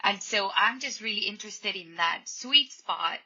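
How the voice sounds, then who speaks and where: A woman from Colombia, a podcast guest, calmly explaining her ideas in a reflective conversational way. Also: medium pitch, moderate pace, slightly rough. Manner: thoughtful, explanatory, quotes people in little voices. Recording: dull lo-fi podcast audio.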